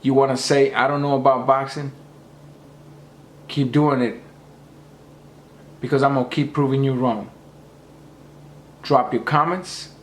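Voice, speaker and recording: A man's voice: medium pitch, steady tone, measured pace, pauses often, reverberant, slight background noise. A man losing his voice, ranting emphatically about boxing and lecturing his viewers. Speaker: boxing commentator. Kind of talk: opinionated rant to camera.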